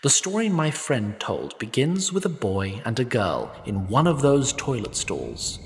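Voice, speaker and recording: A man's voice: medium pitch, sing-song, measured pace, big-room sound. A man narrating a comic fantasy audio drama in a wry, formal storytelling manner. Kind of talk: scripted narration.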